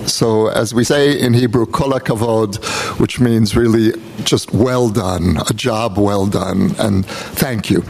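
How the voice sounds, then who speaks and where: An American man, a guest speaker, giving a public talk in a measured, personal storytelling manner. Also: on the low side, steady tone, vocal fry, darkish tone, a little hiss or hum. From United States. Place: event hall.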